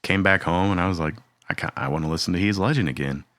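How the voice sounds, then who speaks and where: A man's voice: deep voice, racing, fairly bright tone, no room sound, clean studio sound. A man co-hosting a podcast, chatting casually and jokingly, with rambling stories. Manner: laid-back, rambling, joking. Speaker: podcast co-host. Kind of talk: casual chat.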